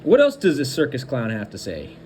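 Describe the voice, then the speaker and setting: A man's voice: medium pitch, wildly swooping pitch, a little fast, a little mellow, slight background noise. A man giving angry, combative political commentary, hurling insults and hammering points through repetition. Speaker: host of a political commentary show.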